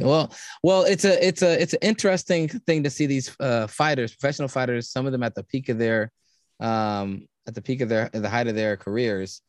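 A man talking casually on a podcast, rambling and halting with many restarts. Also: medium pitch, no echo, clean studio sound.

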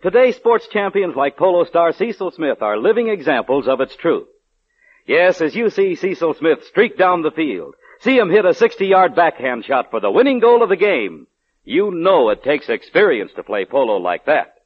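On a dull old radio recording, a male commercial announcer reads in 1940s style. His voice is fairly high and breathy-rough, with lively swings in intonation. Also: moderate pace. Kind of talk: 1940s radio advertising read.

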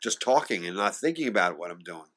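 Lower-voiced older man with a slight stutter. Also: fast talker. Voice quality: occasional stutter, with slips and restarts. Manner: animated storyteller who acts out quoted voices. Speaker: singer and actor, interview guest. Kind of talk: reminiscing in a conversational interview.

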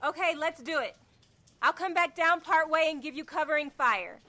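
A woman playing a capable, bossy leader of zombie survivors in an audio drama, brisk and commanding. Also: sits high, sharp tone. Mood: urgent.

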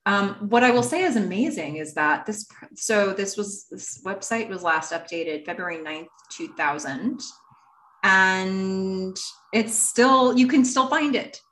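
A woman co-hosting a podcast in casual chat, talking enthusiastically in quick bursts. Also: medium pitch, lively intonation, fairly bright tone, rough recording. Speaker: podcast co-host.

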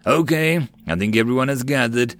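A man voicing a level-headed, wary male marine commander who speaks in a measured way. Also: mid-range voice, a bit bright, dry recording, close to an everyday voice.

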